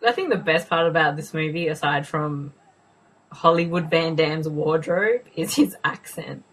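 A woman's voice: low-pitched, a little slow, fairly bright tone, poor audio. A young woman casually co-hosting a movie commentary, joking, sarcastic and swearing freely. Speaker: co-host.

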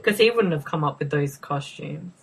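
A woman's voice: sits low, animated, moderate pace, vocal fry, a little hiss or hum, poor audio. A young woman casually co-hosting a movie commentary, joking, sarcastic and swearing freely. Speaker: co-host.

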